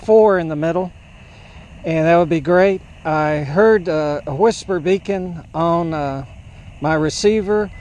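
An older man giving a casual, unscripted report outdoors into an action camera, speaking in a halting, hesitant way. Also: high voice, darkish tone. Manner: slow, halting, hesitant delivery with throat clearing. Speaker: amateur radio operator vlogging. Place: open grassy field outdoors, under a canopy. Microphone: action camera at a short distance. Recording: outdoor camera audio with ambient noise.

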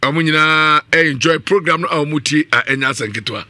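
Bright, forceful Ghanaian male radio host. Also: fairly high pitch, normal speed, dry recording. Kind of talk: live radio talk show hosting with ad-libbed sponsor reads. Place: small radio studio. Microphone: studio condenser mic on boom arm, up close.

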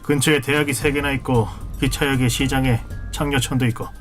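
A man playing a young male police platoon leader who speaks in a calm, measured, questioning way, in a radio drama. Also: medium pitch, close to an everyday voice. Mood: probing. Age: young adult. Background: background music.

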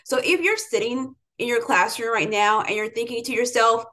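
A middle-aged American woman with a slightly high voice speaks as an educator to students. She delivers a motivational talk, leaning firmly on key phrases. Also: normal speed, fairly bright tone. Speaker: educator, interviewer. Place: home room with bookshelves, indoors.

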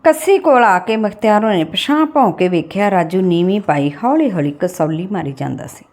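A woman reads a literary story aloud as an audiobook narrator, with expressive delivery. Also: medium pitch, normal speed.

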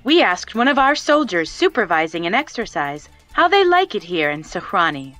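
An actress playing a polished television correspondent, delivering a scripted news report in a composed, formal manner. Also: female voice, medium pitch, big pitch swings, moderate pace, fairly bright tone, no room sound, faint music behind. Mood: upbeat, promotional.

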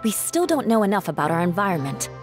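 Woman as a poised knight, expressive mid-range voice. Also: mid-range voice, fast, lightly acted. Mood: composed, cautious. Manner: formal, composed. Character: poised, proud young woman knight, cautious. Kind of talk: video game voice acting. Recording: music behind the voice. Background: game music.

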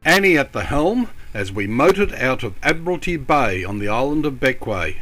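A man's voice: medium pitch, sing-song, moderate pace, a bit bright, faint music behind. A middle-aged man, enthusiastically narrating his own sailing trip video as a voice-over. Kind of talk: home travel video voice-over.

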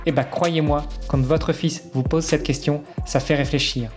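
Higher-pitched man hosting a podcast. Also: steady tone, moderate pace, over music. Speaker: podcast host. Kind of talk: podcast intro narration and casual interview chat.

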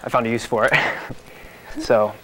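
A young man from Connecticut talks casually as he presents his sideshow act. His pitch is average and there is faint noise behind him in the studio. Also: steady tone, normal speed, bright, forceful tone, low-quality recording. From small town in Connecticut, United States. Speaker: guest sideshow performer. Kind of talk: casual explanation of a stunt.

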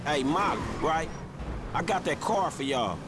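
An actor playing a streetwise, wry young hustler in a video game, talking in casual, protesting slang. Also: male voice, fairly high pitch, lively intonation, a little slow, very noisy. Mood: businesslike. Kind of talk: naturalistic video game acting.

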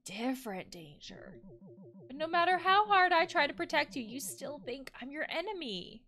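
A woman's voice: high-pitched, big pitch swings, moderate pace, sharp tone, voice pushed up, heavily acted. A woman voicing an anxious, nagging guard wolf from a game, in a melodramatic, pleading manner. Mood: desperate, pleading. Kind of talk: game dialogue read aloud.

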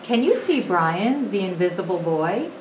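A woman reading a children's picture book aloud with expressive, deliberate phrasing, as an educator would to pupils. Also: mid-range voice. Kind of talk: storybook read-aloud. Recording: roomy echo, clearly noisy background.

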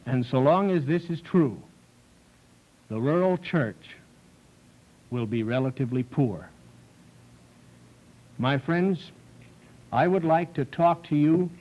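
A man in his forties, a pastor speaking in an earnest, measured way to farmers from a desk in a small studio. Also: a little high. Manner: slow, earnest, measured delivery. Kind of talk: televised address to farmers. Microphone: desk stand mic. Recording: dull 1960s film soundtrack.

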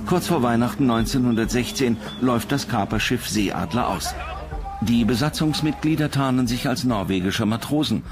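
A man's voice: medium pitch, normal speed, music in the background. A man reading scripted documentary narration as the narrator.

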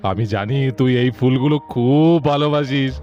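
A man's voice: mid-range voice, normal speed, a little mellow, highs cut off, faint music behind, lightly acted. A man voicing a fond, nostalgic old sweetheart in a sentimental, chatty way for a puppet cartoon. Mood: tender, wistful. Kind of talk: Bengali puppet cartoon voice acting.

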